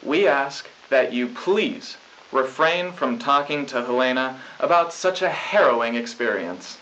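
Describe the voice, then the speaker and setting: A man's voice: mid-range voice, lively intonation, a little slow, bright, forceful tone. A young man anchoring a scripted school news broadcast from a studio desk, in a smug, upbeat manner. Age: young adult. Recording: lo-fi hissy old tape.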